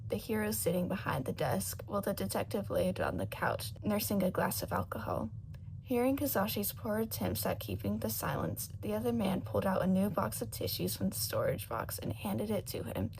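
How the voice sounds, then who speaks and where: Woman, story narrator, lively swooping pitch, creaky fry, faint background noise. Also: poor audio. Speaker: audiobook narrator of fan fiction. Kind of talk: dramatic scripted narration.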